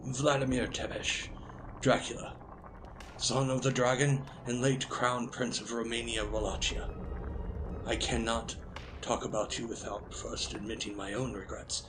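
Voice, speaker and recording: Man acting as ancient wizard, male, grave, proud, ceremonious, medium pitch, audio drama. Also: level tone, unhurried, noisy background, natural voice. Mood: regretful, earnest. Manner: formal, ceremonious, stately speech. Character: ancient Merlin, grave male founder and commander, weary, proud.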